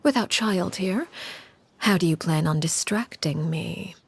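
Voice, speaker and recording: A woman voicing a cold, poised leader in a video game who speaks in a measured, formal, quietly mocking way. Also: fairly low pitch, vocal fry, slightly rough, no room sound, exaggerated voice. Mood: coolly mocking. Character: cold, commanding female leader, poised and unsettling.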